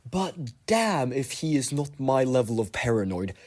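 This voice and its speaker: A man's voice: mid-range voice, very animated, a little slow, a little mellow. A man giving casual, rambling commentary in a listener voice message.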